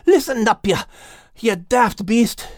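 A man voicing a feisty, bossy little dog with a Scottish accent, protective and quick to scold, in an audiobook animal tale. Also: very high-pitched, expressive, darkish tone, dry recording, studio quality, voice pushed up, heavily acted. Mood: angry, threatening.

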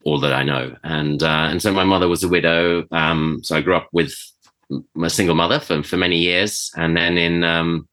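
A middle-aged man with a British accent speaks in a very low, bright voice with a forceful edge. He talks in a relaxed, reflective way, pausing on fillers and restarting phrases as he answers interview questions. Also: poor audio. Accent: British English. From Switzerland. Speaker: chef and podcast guest. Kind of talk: conversational interview.

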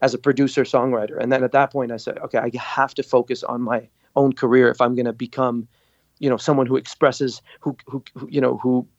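A man's voice: medium pitch, no echo, highs cut off. Storytelling male guest from Lebanon. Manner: animated storyteller, acts out quotes. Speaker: guest, singer and producer. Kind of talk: casual interview storytelling.

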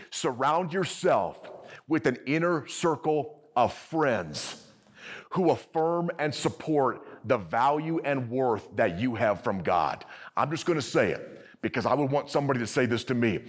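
A man preaching to a live congregation, energetic and conversational, often checking in with the audience. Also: medium pitch. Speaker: pastor. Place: church service with audience.

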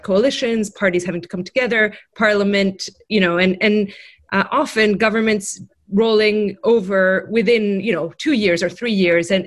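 Female progressive panelist from Greece, mid-range voice, thoughtful, self-qualifying delivery. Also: mid-range voice. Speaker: progressive panelist, former congressional candidate. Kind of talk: political discussion.

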